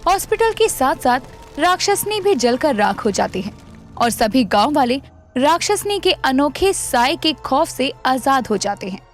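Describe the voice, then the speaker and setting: High, lively woman storyteller. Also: unhurried, faint music behind. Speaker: narrator. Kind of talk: scripted dramatic story narration for animation.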